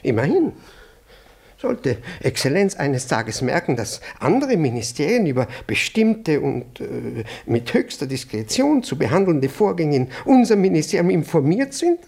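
An actor playing a pompous, fussy older male department chief in a radio play, halting and repeating himself. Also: fairly high pitch, big pitch swings, gravelly, darkish tone, full character voice. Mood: indignant, alarmed. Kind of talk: German radio comedy acting.

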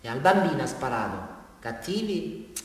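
A man's voice: medium pitch, very animated, normal speed, natural voice. A man acting an unhinged small-town murderer with a southern Italian accent, confessing to a police brigadier in a rambling, insistent, taunting way in a theatrical radio drama. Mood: taunting, bitter. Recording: echoey hall from far off, faint music.

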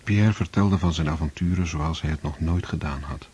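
A male narrator reading a literary story in a measured, scripted way. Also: very deep voice, a little mellow, breathy edge. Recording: dull lo-fi recording.

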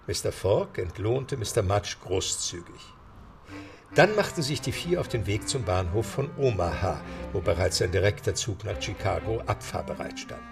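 A man narrates a radio play in a measured storytelling delivery. His voice is low and gravelly, and he varies his pitch freely. Music plays underneath him. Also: expressive.

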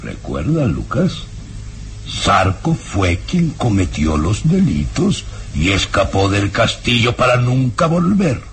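An actor playing a sinister, commanding baron and cold scheming villain in a melodramatic radio drama, with an imperious, menacing delivery. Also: male voice, on the low side, wildly swooping pitch, takes it slowly, gravelly, a little hiss or hum, full character voice.

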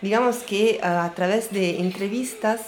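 A woman with an Italian accent, Italian being her first language, answering interview questions as a radio artist. She talks thoughtfully, pausing often to search for her words. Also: mid-range voice. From Naples, Italy.

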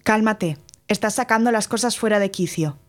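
An actress playing an impatient, dismissive granddaughter with a curt manner in an audio drama. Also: female voice, mid-range voice, normal speed, soft background music, lightly acted.

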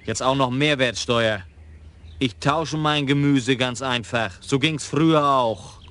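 A calm Frisian police lieutenant in a radio play. He talks in short, plain phrases, unhurried and stubborn. His voice sits in a middle pitch. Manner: slow, terse and plainspoken. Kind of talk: radio drama acting.

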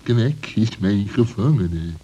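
A man voicing a sly, greedy fox in a children's radio play, with a husky, menacing and mocking manner. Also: lowish voice, expressive, slow pace, dark tone, highs cut off, a little hiss or hum. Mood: suspicious, scoffing. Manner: menacing, mocking, swooping delivery with chuckles. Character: sly, greedy, bullying male fox villain.

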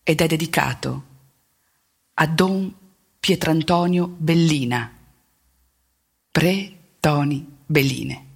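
A woman performing a narrative theatre monologue, telling it in a deliberate, measured way with emphatic phrasing. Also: low voice, creaky, breathy edge. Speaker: stage actor and narrator.

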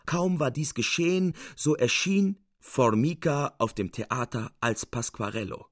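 A man narrates literary fiction in the style of an audiobook. His voice is mid-pitched, and the recording is dry with very little room sound. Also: expressive, a little slow, fairly bright tone, no room sound.

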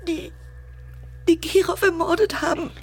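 Very high-voiced, tearful, battered wife. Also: female voice, fairly even intonation, a little slow. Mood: bitter, accusing. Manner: anguished, accusing. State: distressed, tearful. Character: battered, bitter, despairing wife of an accused assassin. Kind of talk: German radio drama dialogue. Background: faint music.

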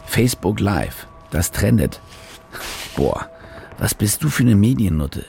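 Rattled cynical reporter guy, low gravelly voice, muttering and cursing, radio play. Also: sing-song, a little slow, darkish tone, faint music behind, exaggerated voice. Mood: rattled self-talk. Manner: agitated, muttering to himself, swearing. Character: panicky, cynical male war reporter, rattled and foul-mouthed. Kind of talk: radio drama acting with inner monologue.

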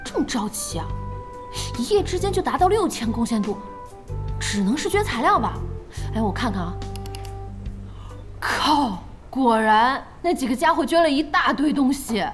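A woman playing a feisty, excitable young guild boss in an audio drama, blunt and expressive. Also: high-pitched, normal speed, pauses often, music in the background. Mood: indignant.

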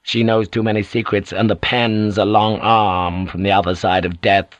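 A male narrator plays an aging samurai warlord from an audiobook, low in pitch and recorded close and dry. He is proud and scheming, issuing orders with imperious, emphatic force. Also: level tone, normal speed, dry recording, muffled recording, natural voice. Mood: stern, urgent. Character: fierce, scheming older samurai lord, proud and commanding. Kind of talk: audiobook character acting.

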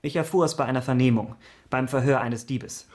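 A man acting a dutiful police officer of 1670s Paris in a German radio crime drama, speaking in a formal, measured, matter-of-fact way. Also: medium pitch, darkish tone, lightly acted. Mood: confident.

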